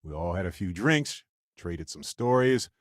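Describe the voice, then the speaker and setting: An actor playing an affable, wisecracking space explorer and scientist in a video game, speaking in a playful, wry, conversational way. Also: male voice, low-pitched, sing-song, a little slow, no echo, studio quality. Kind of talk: video game companion dialogue.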